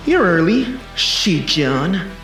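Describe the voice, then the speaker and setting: An urgent, high-pitched time-traveling protector. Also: male voice, sing-song, takes it slowly, a bit bright, full character voice. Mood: urgent, commanding. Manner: commanding, terse, insistent. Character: urgent male time-traveling protector from the future, commanding and cocky. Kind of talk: action sci-fi film acting. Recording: roomy sound with music behind.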